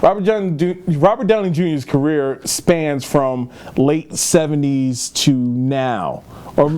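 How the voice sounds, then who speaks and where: A man's voice: fairly high pitch, measured pace, a little mellow, clear, resonant tone. A man co-hosting a casual film review chat, talking in a rambling, emphatic way and repeating himself. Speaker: film podcast co-host.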